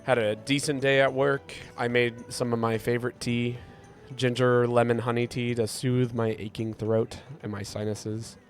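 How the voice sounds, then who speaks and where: A man streaming a game, chatting with his viewers and answering their messages. He is sick and congested, and his voice is hoarse, gravelly and deep. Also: level tone, normal speed, soft background music. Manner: rambling, reads chat aloud and answers it. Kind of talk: casual live-stream chat while gaming.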